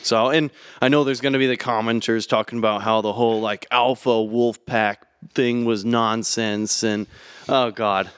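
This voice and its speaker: Casting note: mid-pitched man, smooth clear voice, blunt ranting podcast delivery. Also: fairly even intonation, normal speed, a bit bright, no echo. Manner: mock-boastful, then blunt and ranting. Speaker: podcast co-host. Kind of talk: casual comedy podcast chat.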